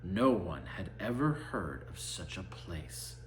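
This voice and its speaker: A man reading a storybook aloud with a theatrical, deliberate delivery. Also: low-pitched, big pitch swings. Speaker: storybook reader. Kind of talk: animated read-aloud storytelling. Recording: echoey room, faint background noise.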